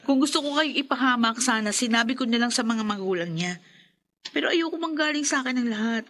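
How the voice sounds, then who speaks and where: A woman's voice: on the high side, a little fast, a bit bright, close to an everyday voice. An actress playing a firm, reproachful young woman in a radio drama who scolds her friends. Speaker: friend who knows the truth.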